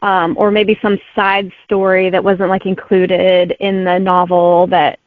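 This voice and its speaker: A woman's voice: medium pitch, level tone, measured pace, no room sound. A woman author, a guest on a podcast, answering in an easygoing way with many filler "um"s. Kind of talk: casual interview answers. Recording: tinny phone-like remote line.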